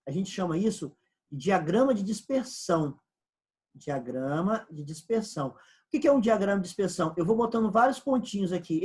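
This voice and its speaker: A man with a Brazilian Portuguese accent teaching an economics lesson, explaining step by step and repeating key points. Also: high-pitched. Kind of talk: recorded online class lecture.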